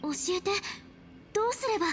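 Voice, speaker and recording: A woman's voice: very high-pitched, animated, very fast. A woman playing a spirited, anxious young heroine in an anime-style fantasy game. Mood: urgent, pleading.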